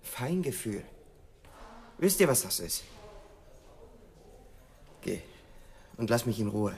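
An actor playing an arrogant, demanding imprisoned killer in a radio drama, with a gravelly, husky voice and a commanding, condescending manner. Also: male voice, lowish voice, very animated, slow pace, darkish tone, full character voice. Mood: insistent, haughty. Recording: long hall-like reverb.

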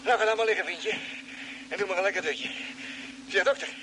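A man acting a jittery spaceship crewman in a Dutch radio play. He chatters fast in a high voice and stumbles over repeated words. The vintage recording is noisy. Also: natural voice. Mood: nervous, chatty. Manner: stammering, repeats words when flustered.

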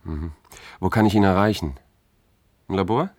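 Casting: brooding, uneasy man in a science fiction radio play, deep, measured, dry studio sound. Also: no echo. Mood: probing, concerned. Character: anxious, brooding man recounting his search for a friend. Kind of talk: German radio drama acting with narration.

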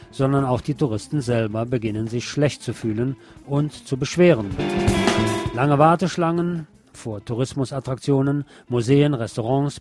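A man's voice: mid-range voice, darkish tone. A man presenting news items as a scripted magazine-style read. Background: music.

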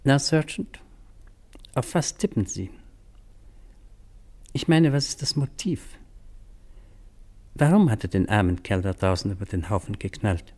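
An actor playing a talkative, worldly doctor with dry wit and a gossipy streak in a German radio crime drama. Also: male voice, lowish voice, a little slow, long pauses, a little mellow. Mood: curious, teasing. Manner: talkative, wry, slightly gossipy.

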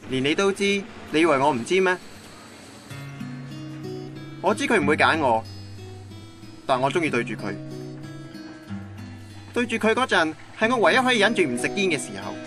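Casting: male actor, lovesick young Cantonese romantic from radio drama, high animated voice, earnest, Hong Kong accent, guitar behind. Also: normal speed, pauses often. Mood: earnest devotion. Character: lovesick young man, stubbornly devoted, self-deprecating romantic. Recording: guitar music under the voice.

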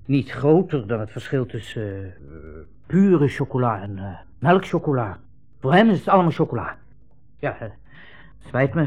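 A man's voice: medium pitch, big pitch swings, normal speed, darkish tone, lo-fi sound. A man acting a brusque, cynical army captain in a 1960s Dutch radio play, with an offhand, dismissive manner. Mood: awkward, offhand. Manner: hesitant hemming, offhand, dismissive.

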